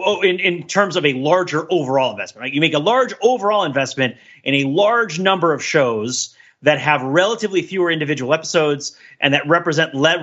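An animated man with a bright, forceful voice chats casually as a podcast co-host. He rambles in a jokey way and circles back over his phrases. The recording is a bit dull and lo-fi. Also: a little high, breathy edge. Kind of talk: casual pop-culture discussion.

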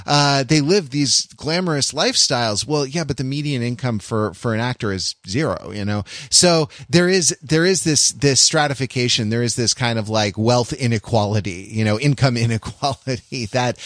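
An American man co-hosting a podcast, talking casually in an opinionated, halting, rambling way. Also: mid-range voice, a bit bright, no room sound, studio quality. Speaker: podcast co-host. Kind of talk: casual discussion.

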